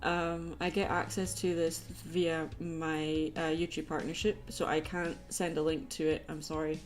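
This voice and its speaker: A woman's voice: low voice, flat delivery, unhurried. A woman hosting an art livestream in casual, jokey, informal chat. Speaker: livestream host and artist taking commissions. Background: guitar music playlist.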